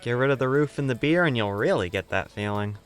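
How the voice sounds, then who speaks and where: An actor playing a laid-back, obliging bartender in an audio drama, talking casually and helpfully. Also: male voice, mid-range voice, expressive, fast talker, faint music behind, close to an everyday voice.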